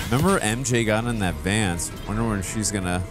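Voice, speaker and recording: A man in his thirties hosting a casual reaction, musing aloud into a headset mic in a home studio. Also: fairly low pitch. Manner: musing, laid-back, chuckling. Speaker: reaction host. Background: game audio playing.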